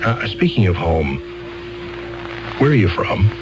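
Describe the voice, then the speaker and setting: A fumbling court lawyer with a fairly low voice that still rises and falls freely. He hesitates, stammers and clears his throat as he talks, and music plays behind him in this old radio drama. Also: very animated, a little slow, poor audio. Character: fumbling, wordy middle-aged male court lawyer. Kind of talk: 1970s radio drama acting.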